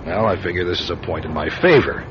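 A hard-boiled 1940s detective, muffled noisy recording. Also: male voice, low voice, expressive, fast. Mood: grim, resolute. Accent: American. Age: middle-aged. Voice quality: hard-boiled, wry delivery. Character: hard-luck, weary, tough 1940s male private investigator. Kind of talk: radio drama acting with first-person noir narration.

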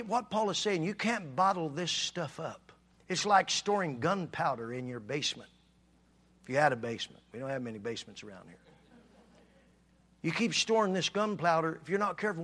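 Bright-voiced preaching man. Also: fairly high pitch, expressive, normal speed. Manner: swings from quiet to loud, shouted preaching. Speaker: pastor. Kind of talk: sermon.

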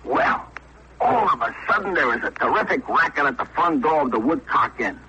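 A man's voice: a little high, animated, moderate pace, sharp tone, slight background noise. An American man narrating a slangy comic tale in a 1940s radio style, on a dull old recording. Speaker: storyteller.

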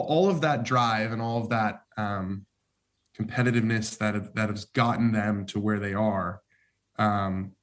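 A man on a golf panel, rambling through his thoughts and trailing off quietly. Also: mid-range voice. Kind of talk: casual panel discussion.

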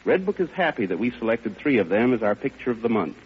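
A man's voice: medium pitch, big pitch swings, quick pace, slightly rough. A man, presenting an award in a stiff, formal prepared speech on vintage radio. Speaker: award presenter, magazine editor. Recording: dull vintage radio broadcast.

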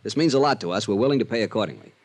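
An American man playing a worried, insistent insurance company man in 1950s radio drama style, brisk and emphatic. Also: fairly low pitch, animated, darkish tone.

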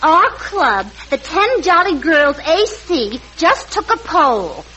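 Shrill, tactless tavern girl. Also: sing-song, slow pace, pushed and bright, exaggerated voice. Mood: gushing, then blunt. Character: tactless, man-crazy tavern owner's daughter. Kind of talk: 1940s radio comedy acting. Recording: noisy, dull 1940s radio recording.

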